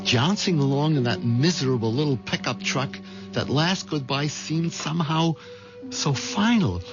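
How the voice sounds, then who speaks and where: A man's voice: fairly high pitch, expressive, measured pace. An actor playing a meek, nervous American husband caught up in his own crime, in old-time radio drama style. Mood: grim, uneasy. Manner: hesitant, anxious, stammering under pressure. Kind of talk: old-time radio drama acting and first-person narration. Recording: dull lo-fi radio recording, music behind.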